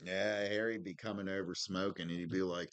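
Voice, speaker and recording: Deep smoker voice guy, real low, just chillin and chatting, slangy, says man and dude a lot. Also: steady tone, fairly quick, smooth. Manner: slangy, laid-back, peppered with "man" and "dude". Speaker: podcast co-host. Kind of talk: casual chat.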